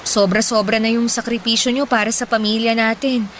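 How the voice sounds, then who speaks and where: A woman's voice: fairly high pitch, fairly even intonation, fairly quick, a bit bright, lightly acted. A woman playing a devoted young daughter in a Filipino radio drama, earnest and respectful, with a Filipino accent. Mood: tender, worried. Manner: earnest, respectful, fussing over her father. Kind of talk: radio drama acting in Tagalog. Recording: noisy ambience behind the voice.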